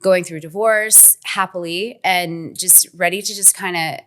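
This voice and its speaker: A woman's voice: fairly low pitch, steady tone, a little slow, fairly bright tone, slight background noise. A middle-aged woman, a business owner and podcast guest, chatting in a rambling way with lots of fillers and trailing sentences. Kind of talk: casual conversation.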